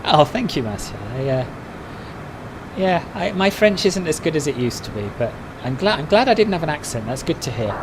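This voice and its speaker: Man, relaxed conversational live-stream talk, answering chat, steady background noise. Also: a little high, moderate pace. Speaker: flight sim streamer.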